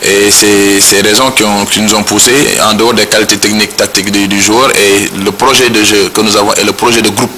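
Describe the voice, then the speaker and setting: A man's voice: on the low side, steady tone, brisk, few pauses, pushed and bright, slight background noise. A man with a Senegalese French accent, a national coach, explaining his choices hesitantly with fillers. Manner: hesitant, thinking aloud with fillers. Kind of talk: press statement.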